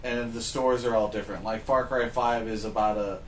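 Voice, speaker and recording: A man's voice: medium pitch, steady tone, fairly quick. A man co-hosting a casual chat about games and films, explaining his points and often rephrasing them. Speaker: podcast co-host. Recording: roomy echo, faint background noise.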